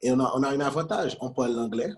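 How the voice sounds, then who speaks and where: Man, halting and repetitive. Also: medium pitch, darkish tone. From Haiti. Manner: repeats words while searching, switches between Creole and French. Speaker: guest entrepreneur. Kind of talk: conversational interview.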